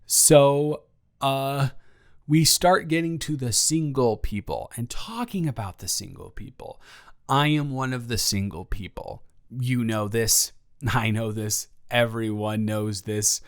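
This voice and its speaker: A man's voice: medium pitch, slow pace, clean tone. A man playing a nervous, self-deprecating young male roommate in an improvised audio roleplay, stammering and repeating himself as he rambles. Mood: nervous, rambling.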